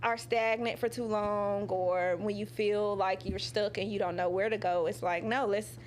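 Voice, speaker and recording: A woman singer-songwriter talking casually in an interview, animated and full of laughs, acting out her own quotes, with drawn-out "you know" and "um" fillers. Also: mid-range voice, a little hiss or hum.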